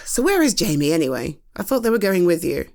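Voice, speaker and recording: A woman's voice: on the low side, very animated, brisk, a little mellow. A British woman in a casual roleplay monologue, chatty and rambling, reassuring a friend with self-deprecating humor and casual swearing. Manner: swears casually, laughs often. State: tired. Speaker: audio roleplay performer.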